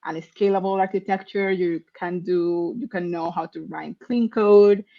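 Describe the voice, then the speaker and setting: A woman's voice: lowish voice, steady tone, creaky. Woman on a dull call line. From Colombia. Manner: earnest, conversational, some halting restarts. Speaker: guest software engineer. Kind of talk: interview answers.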